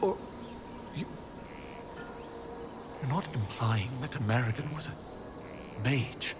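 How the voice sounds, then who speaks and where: An actor playing an excitable, earnest scholar in a video game, talking in a fussy, polite, stammering way. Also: male voice, mid-range voice, slow pace, noisy background. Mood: flustered, anxious.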